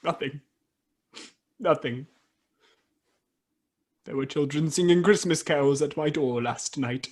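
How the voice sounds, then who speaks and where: A woman playing a regretful, easily moved old man in a radio play, with a husky, breathy voice and halting, dramatic delivery. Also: low-pitched. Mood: sad, subdued. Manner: halting, dramatic, swinging between exclamation and hush.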